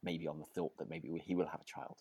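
A man's voice: rumbling low, level tone, quick pace, a little mellow, breathy edge, no room sound, clean studio sound. A man co-hosting a podcast in casual chat, rambling and often correcting himself as he goes. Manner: hesitant, rambling, self-correcting. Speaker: podcast co-host. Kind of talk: casual chat reviewing a radio drama.

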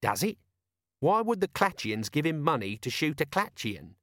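Storybook corporal, performed by a male reader: scruffy, artless, doubting, colloquial, voice lifted above natural pitch. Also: very animated, normal speed, a bit bright, no room sound, studio quality. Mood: doubtful, puzzled. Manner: colloquial, naive, questioning. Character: scruffy, artless, sceptical low-ranking male police corporal.

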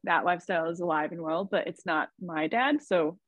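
A woman's voice: fairly low pitch, fairly quick, no room sound. A woman from Idaho, a podcast guest, chatting in an upbeat way and laughing often. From Idaho, USA. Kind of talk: casual conversation.

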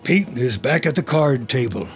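A man narrates a dramatic screenplay in short, terse present-tense phrases. His mid-pitched voice sits over clear background music. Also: expressive, moderate pace.

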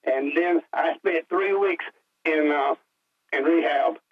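A man's voice: a little high, slow. Male radio caller on a muffled line. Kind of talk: phone-in caller chat. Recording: muffled broadcast audio.

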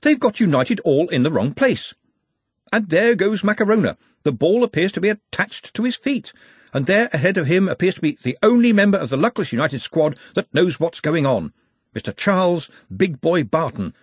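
A man voicing an excitable newspaper editor giving florid, breathless commentary on a football match in an audiobook. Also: a little high, breathy edge, no echo, pitched above the performer's own voice.

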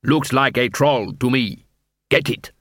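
A man voicing a hostile, gruff male dwarf street fighter who speaks in a curt, accusing way. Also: mid-range voice, unhurried, a bit bright, no room sound, clean studio sound.

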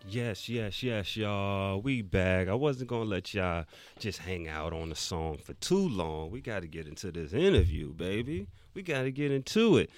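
A man's voice: sits low, expressive, normal speed. A man hosting a radio interview show, chatting casually and hyping up his listeners with slang. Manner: hypes the audience with slang.

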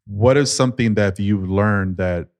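A man's voice: fairly low pitch, measured pace, darkish tone, no room sound, studio quality. A male podcast host with a laid-back manner, asking questions and doing the outro with lots of fillers. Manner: laid-back, many fillers and short backchannels. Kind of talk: casual interview and podcast outro.

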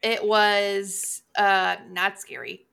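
A woman's voice: mid-range voice, level tone, extremely slow, vocal fry, bright, forceful tone, smooth. A woman co-hosting a podcast in casual chat, talking in a rambling, self-aware way that slips easily into tangents. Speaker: podcast co-host. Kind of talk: casual chat about horror movies.

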